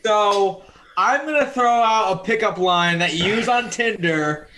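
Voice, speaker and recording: A man co-hosting a casual podcast, teasing and joking in an animated way. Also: high-pitched, a little slow, slight background noise. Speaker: podcast co-host. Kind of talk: casual chat.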